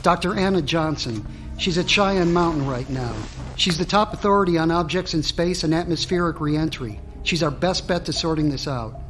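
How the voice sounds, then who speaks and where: Actor as high-voiced, formal male defense secretary. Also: moderate pace, fairly bright tone, faint music behind, natural voice. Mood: grave, briefing. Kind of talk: radio drama acting.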